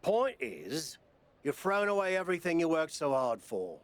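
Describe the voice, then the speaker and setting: A man's voice: on the high side, very animated, normal speed. A man playing a blunt, supportive British wrestling promoter and mentor in a video game cut scene, with a wry manner. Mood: firm, concerned.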